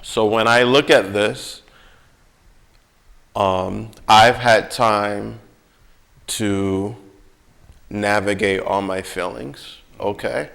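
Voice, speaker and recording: A man with a fairly low, gravelly voice in reflective conversation. He speaks deliberately as a host, repeating phrases for emphasis. His delivery is markedly slow. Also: steady tone, a bit bright. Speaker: host interviewing an illustrator.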